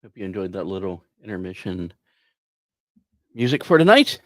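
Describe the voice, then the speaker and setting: A man's voice: sits low, sing-song, moderate pace, fairly bright tone, no echo. A man hosting a podcast, narrating science news from notes with casual, wry asides. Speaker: podcast host.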